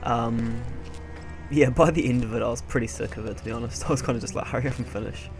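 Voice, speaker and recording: A young man chatting casually over gameplay in an unhurried, hesitant, low-key way. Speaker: let's play host. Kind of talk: casual gameplay commentary, rambling personal chat. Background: video game music.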